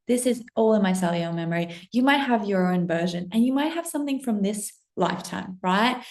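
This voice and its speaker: A woman from Russia, in her thirties, talks to camera as a spiritual business coach. She gushes and swears with breathless excitement. Her voice sits at an ordinary middle pitch for a woman. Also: a little fast. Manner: breathless, effusive, heavy swearing and frequent "like". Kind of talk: excited casual monologue to camera.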